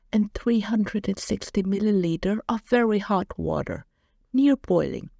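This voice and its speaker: A woman's voice: mid-range voice, warm tone, no echo, studio quality. A woman with a non-native English accent narrating a home cooking recipe as a voice-over, cheerful and playful. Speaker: cooking channel host.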